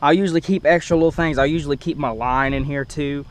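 A man's voice: a little high, steady tone, fast talker. A man hosting a casual, unscripted video tour, talking into a head-worn action camera outdoors. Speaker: host of a fishing boat tour video. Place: outdoors in a parking lot beside a lake.

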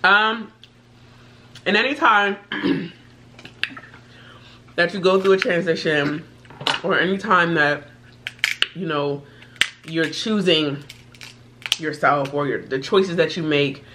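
Guest woman, 30s, lowish voice, reflective and playful, faint hiss behind. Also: pushed and bright. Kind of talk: casual heartfelt chat. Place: indoor studio set at a table.

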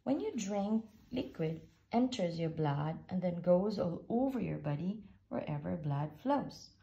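Slow, gravelly woman reading a storybook. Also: muffled recording. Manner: slow, expressive read-aloud with lively pitch. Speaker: storybook reader. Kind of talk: reading a rhyming picture book aloud.

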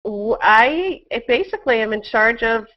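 A woman's voice: on the high side, lively intonation, normal speed. Woman on a dull video call. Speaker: interview guest, community director. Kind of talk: casual interview chat. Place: indoor room at home. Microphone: earbud headset on video call.

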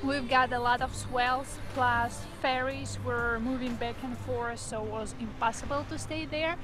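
A young woman, a sailing vlogger, narrating casually and softly from her boat into an action camera held at arm's length. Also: a little high, fairly even intonation, a little slow. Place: sailboat at anchor, on deck and in the cabin. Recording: music playing under the voice.

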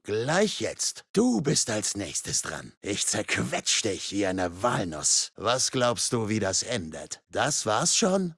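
A man voicing a taunting, sarcastic masked killer in a video game, with a husky, gravelly voice and a mocking, menacing manner. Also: on the low side, wildly swooping pitch, takes it slowly, few pauses, fairly bright tone, no room sound, studio quality, heavily acted. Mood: playful menace. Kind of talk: game combat voice lines in German dub.

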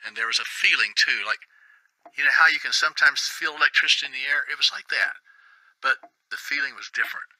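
An actor playing a harried middle-aged radio producer in a video game, exasperated and rambling. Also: male voice, mid-range voice, quick pace, bright, forceful tone, no echo, poor audio. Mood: awed, hushed.

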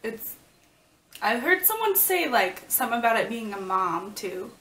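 A woman's voice: on the high side, big pitch swings, moderate pace, background noise. Giggly young woman, a little off mic. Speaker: guest vlogger. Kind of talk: casual chat. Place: small bedroom indoors. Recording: roomy, slightly distant webcam sound.

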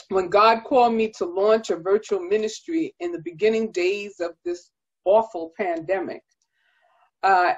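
A middle-aged woman preaching a sermon in a deliberate, emphatic cadence of repeated phrases, recorded like a video call. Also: mid-range voice. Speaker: guest preacher and pastor. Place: indoors, virtual background. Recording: dull, band-limited video-call audio.

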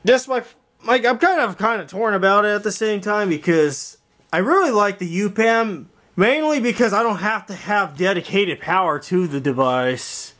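Higher-voiced man, rambling casually. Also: lively intonation, a bit bright. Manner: rambling, halting, thinking aloud. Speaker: video creator showing a home intercom and speaker system. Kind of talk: casual walkthrough vlog. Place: indoor garage workshop.

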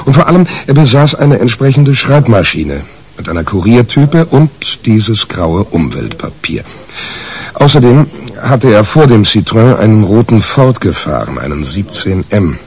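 An actor playing a casual, wry journalist who narrates a murder case in a colloquial way in a 1980s German radio crime drama. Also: male voice, lowish voice, sing-song, measured pace. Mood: matter-of-fact. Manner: colloquial, storytelling.

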